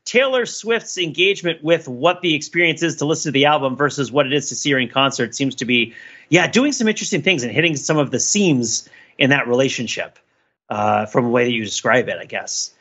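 A man co-hosting a podcast, chatting casually in an animated, joking way with frequent digressions. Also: medium pitch, a little fast, dull audio. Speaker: podcast co-host. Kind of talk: casual chat.

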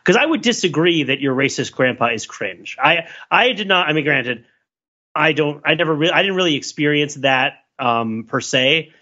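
A man's voice: a little high, expressive, normal speed, bright, forceful tone, muffled recording. A man co-hosting a casual podcast, talking in a considered way and qualifying his statements as he goes. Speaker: podcast co-host. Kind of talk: casual discussion.

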